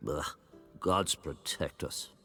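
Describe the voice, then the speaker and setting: An actor playing a grumpy, sardonic old druid in a video game cutscene, speaking in archaic, wry, disdainful phrases. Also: male voice, very deep voice, slow, pushed and bright, soft background music, heavily acted. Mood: grumbling.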